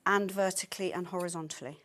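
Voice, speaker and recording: A woman's voice: fairly low pitch, steady tone, quick pace, fairly bright tone. A woman demonstrating a sewing technique on a live studio show. She explains steps practically and mutters to herself as she works. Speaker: sewing presenter.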